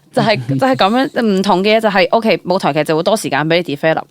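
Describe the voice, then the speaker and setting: A young woman with a Hong Kong accent chatting casually as an interview guest, animated and mixing English words into her speech. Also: medium pitch, brisk, no echo. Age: young adult. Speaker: guest, freelance stage and screen actor.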